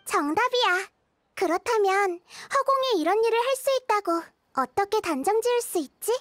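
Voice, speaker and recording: Little girl deity, high voice, gentle, wise, playfully questioning, video game dialogue. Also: animated, normal speed, no room sound. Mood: calmly probing. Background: game music.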